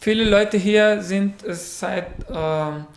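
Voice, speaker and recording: Afghan man, Pashto native, unhurried and halting interviewee, roomy echo. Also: high voice, gravelly. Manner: halting, many fillers, searching for words. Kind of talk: casual interview.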